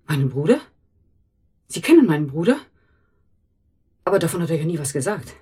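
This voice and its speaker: An anxious housekeeper in a radio drama. Her low voice is very husky and breathy. She rambles on, wordy and emotional, correcting herself as she goes. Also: very animated, brisk, warm tone, heavily acted. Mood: chatty, flustered. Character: chatty, anxious housekeeper protective of her brother.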